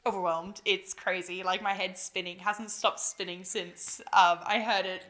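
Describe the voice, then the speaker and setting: A young woman with a Malaysian accent, a newly drafted footballer, chatting excitedly in a casual interview at home, with lots of fillers and giggles. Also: mid-range voice, measured pace, a little hiss or hum. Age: young adult. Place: busy house at home.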